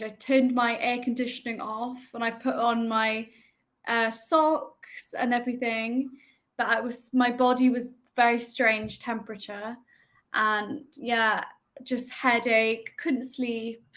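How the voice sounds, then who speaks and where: A woman's voice: fairly high pitch, fairly even intonation, slow pace, fairly bright tone. Chatty young woman tutor on a call. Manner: chatty, hesitant with many ums. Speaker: online English tutor. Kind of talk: casual video call chat. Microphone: video call.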